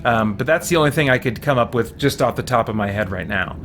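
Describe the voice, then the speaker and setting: Male game streamer: mid-pitched, casual, rambling and thinking aloud, with clear background noise. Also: fast. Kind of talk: casual livestream chat while playing.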